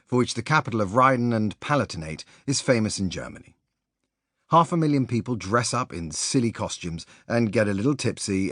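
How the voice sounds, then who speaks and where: Male narrator for non-fiction audiobook: lowish pitch, highly varied intonation, measured storytelling, close dry sound. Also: no echo. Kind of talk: scripted narration of a sports biography.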